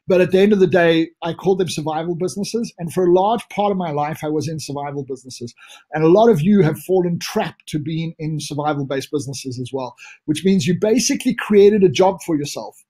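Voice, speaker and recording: Husky South African man coaching a webinar. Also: on the high side, a little mellow. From Namibia. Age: 40s. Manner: upbeat, motivational, with fillers and restarts. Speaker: business coach presenting a webinar. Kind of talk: live webinar presentation.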